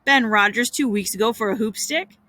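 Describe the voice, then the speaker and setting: A woman's voice: fairly high pitch, fairly quick, bright, forceful tone, no room sound. Woman voicing a cocky, scruffy outcast boy. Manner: casual, clipped, blunt. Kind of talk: storybook character voice.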